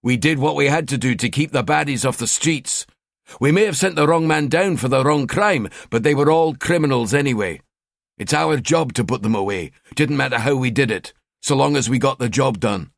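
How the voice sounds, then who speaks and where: A man doing a gruff cynical Scottish cop. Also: mid-range voice, normal speed, no room sound, clean studio sound, pitched above the performer's own voice, close to an everyday voice. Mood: grumbling. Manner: grumbling, cynical. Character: gruff bent middle-aged Scottish detective.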